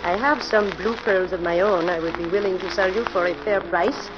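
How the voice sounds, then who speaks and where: An actress playing a suave, wary countess in a 1940s radio drama, formal and guarded, with imperious politeness. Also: female voice, on the low side, steady tone, normal speed, over music, lightly acted. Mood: cool, probing. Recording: noisy, muffled old radio recording.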